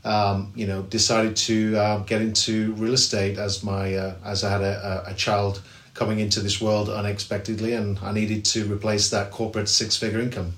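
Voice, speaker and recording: A man's voice: lowish voice, flat delivery, normal speed, fairly bright tone, echoey room. A man with an English accent, a real estate agent, answering interview questions in a chatty way with many fillers and restarts. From England. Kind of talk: podcast interview.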